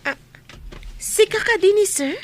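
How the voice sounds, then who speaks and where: A woman's voice: extremely high pitch, measured pace, a little hiss or hum. A Filipino woman playing a polite, naive young housemaid in a radio comedy drama. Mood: puzzled. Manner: meek, respectful.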